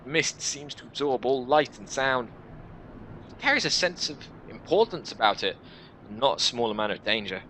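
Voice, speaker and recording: A man reading a game's story aloud in the first person, with an expressive storytelling delivery. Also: mid-range voice, normal speed, slight background noise. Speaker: video game let's player reading a visual novel aloud. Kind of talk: dramatic first-person narration of game text with casual asides.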